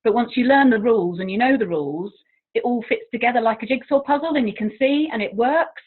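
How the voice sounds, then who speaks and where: A woman's voice: fairly high pitch, fast, slightly rough. An English woman, a languages teacher, chatting as an interview guest, talkative and self-deprecating with frequent laughs. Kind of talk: casual interview chat. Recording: tinny phone-like line.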